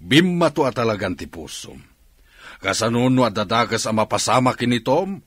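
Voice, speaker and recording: A man playing a kind, considerate middle-aged boss in a radio drama, expressive and concerned, with an Ilocano accent. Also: mid-range voice, moderate pace, dry recording, studio quality. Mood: reproachful, compassionate.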